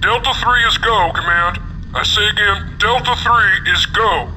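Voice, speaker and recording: A noisy, far-off radio carries a military captain in a video game. He is brisk, formal and businesslike, with a slightly high male voice. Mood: urgent. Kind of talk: scripted game radio message.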